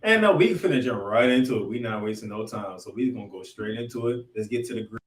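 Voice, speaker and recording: A man's voice: on the low side, animated, fast. A man hosting a sports podcast, giving colloquial, showman-like recap commentary. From Florida, United States. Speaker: podcast host. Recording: echoey room sound.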